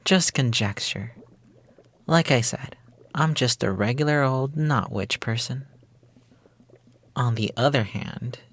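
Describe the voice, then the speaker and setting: A coy, playful witch of a sweetheart acted out by a man in an intimate ASMR roleplay. The talk is flippant and cutesy, dodging every question with a tease. A veiled menace sits under the sweetness, at a middling pitch. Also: vocal fry. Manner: flippant, evasive, teasing, cutesy slang. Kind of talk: intimate ASMR roleplay monologue, girlfriend-experience script.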